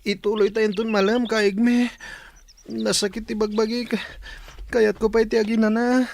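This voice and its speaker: A man's voice: sits high, flat delivery, moderate pace, gravelly, a little mellow, slight background noise. A man with an Ilocano accent playing an earnest young man seeking justice for his father in a radio drama. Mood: weary, strained.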